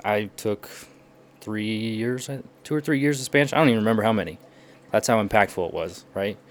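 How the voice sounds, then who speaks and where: A young man appearing as a podcast guest, explaining in an animated way and acting out little quotes as he goes. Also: lowish voice, a little fast. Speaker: podcast guest, language learner. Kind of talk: casual podcast chat.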